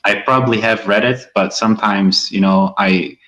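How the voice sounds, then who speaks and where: Muffled, lo-fi call audio carries a low-pitched man from Morocco. A native Berber speaker, he answers as a caller in a casual, hesitant conversational way. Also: fairly even intonation, moderate pace, a bit bright. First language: Berber (Amazigh).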